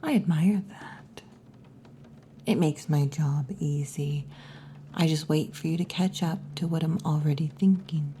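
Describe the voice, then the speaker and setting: Woman acting as tomboy wolf girl bartender, ASMR roleplay, slow breathy whispers, dark mellow tone, teasing, faint background noise. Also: sits low. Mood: teasing, low-key. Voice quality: breathy, mostly whispered or half-whispered. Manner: slow, hushed, teasing, with long pauses. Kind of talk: ASMR roleplay, intimate scripted monologue. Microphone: close ASMR microphone.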